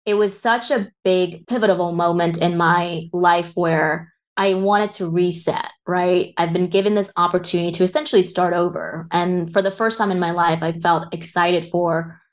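Guest, woman in her 30s, low voice, candid and reflective, dull lo-fi audio. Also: fairly even intonation, moderate pace, few pauses. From Vietnam, raised in Texas. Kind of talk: reflective interview talk.

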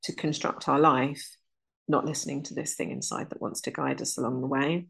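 A woman's voice: rumbling low, steady tone, creaky, breathy edge. A woman, talking earnestly and unhurriedly as an interview guest. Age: 30s. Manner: earnest, unhurried, lists things in runs. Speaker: guest, energy alignment teacher. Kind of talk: reflective interview talk.

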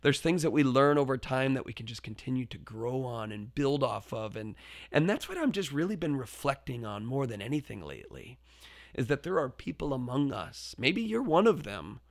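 A man's voice: medium pitch, sing-song, moderate pace, vocal fry, dry recording. A man who is a teacher and podcast host, telling a classroom story in an earnest, reflective way and often restarting his phrases. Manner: builds up to loud, forceful quoted shouts in stories.

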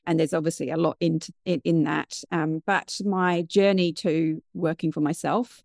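A British woman in her fifties from the UK, a guest telling her story in a chatty, animated, digressive way. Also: deep voice, moderate pace, creaky, no room sound, studio quality. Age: 50s. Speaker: guest, mindfulness business founder. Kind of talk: conversational interview storytelling.

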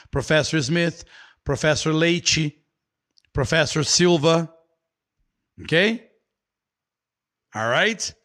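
Male English teacher, Brazilian Portuguese accent, playful live-lesson host, slightly high voice. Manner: animated, playful, explaining with imitations. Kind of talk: live online lesson, reading viewer comments.

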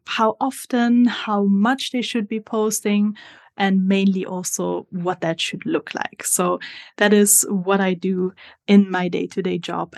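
A woman in her twenties from Italy with an Italian accent, a guest on a podcast, explaining her work step by step with frequent fillers. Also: mid-range voice. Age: 20s. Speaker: social media strategist guest. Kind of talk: podcast interview.

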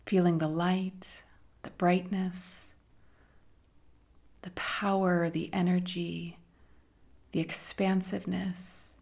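Woman doing guided meditation, really slow and even, soft and gentle, lots of pauses. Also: on the low side, creaky. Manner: soothing, gentle, with long pauses.

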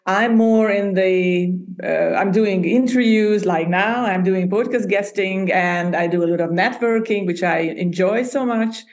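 Woman from Hungary, native Hungarian speaker, podcast guest, chatty, laughing, mid pitch. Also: unhurried, hardly any pauses, a little mellow. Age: adult. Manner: chatty, laughs often, restarts sentences. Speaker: podcast guest, agency founder. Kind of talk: casual interview chat.

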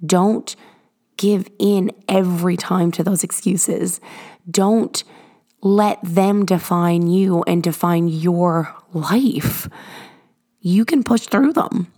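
A woman with a hoarse, raspy voice, rough with gravel and sitting a little low, hosts her podcast from a garage. She talks earnestly and encouragingly, stretching out the words she wants to stress. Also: dark tone. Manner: earnest, encouraging, drawn-out emphasis. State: hoarse from illness. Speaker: podcast host. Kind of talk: motivational podcast monologue.

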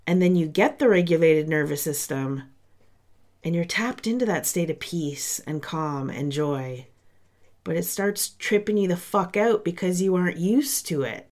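A young woman hosts her podcast with a somewhat low, nasal voice, stuffed up from a sinus cold. She talks in a chatty, candid way, addressing her listeners directly as if in casual conversation. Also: a little slow, a little mellow. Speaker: podcast host and coach. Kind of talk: casual solo podcast monologue.

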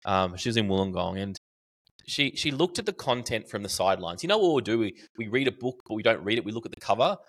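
Chatty Australian man, lowish voice. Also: fast. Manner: animated, storytelling with restarts and fillers. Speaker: podcast guest, marketing educator. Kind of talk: casual interview chat.

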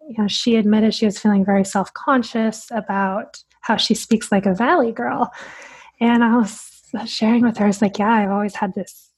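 A woman's voice: mid-range voice, fairly even intonation, normal speed, a little mellow, slightly rough, low-quality recording. A woman speaking as a dietitian guest on a podcast, answering in a direct, earnest way.

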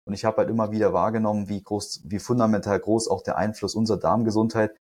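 A man's voice: lowish voice, fairly even intonation, fast talker, darkish tone, husky. A man talking as a health and nutrition coach in a podcast conversation, explaining earnestly and with enthusiasm.

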